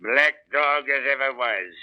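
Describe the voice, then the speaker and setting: Actor as a slow, wheedling, sly pirate. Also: male voice, medium pitch, natural voice. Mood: falsely friendly. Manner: wheedling, falsely affectionate. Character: sly old pirate shipmate, mock-friendly and menacing. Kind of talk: 1930s radio drama acting. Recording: muffled old 1938 radio recording.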